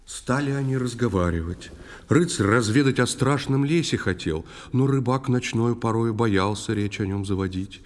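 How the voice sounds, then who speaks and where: A man's voice: mid-range voice, normal speed. A man narrating a verse fairy tale as a storyteller in an audio play. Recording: long reverberant hall echo.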